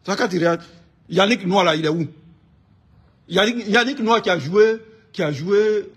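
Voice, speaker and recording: High, husky Ivorian man, ranting insistently. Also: moderate pace. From Ivory Coast. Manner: indignant, emphatic, repeats phrases insistently. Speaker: online political commentator. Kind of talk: informal political rant to camera.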